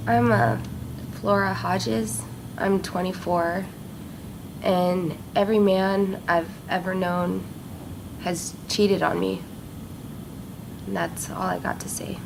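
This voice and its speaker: Actress as a terse young woman, slow gravelly low voice. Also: steady tone. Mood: curt. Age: 20s. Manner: curt, terse. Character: curt, wounded young woman. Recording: noisy indie film audio.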